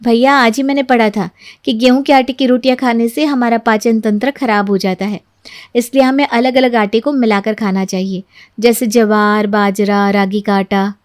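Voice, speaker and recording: A woman storyteller voicing a gentle new daughter-in-law, polite, soft-spoken and earnest about healthy living. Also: a little high, fairly even intonation, normal speed, close to an everyday voice. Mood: earnest, instructive.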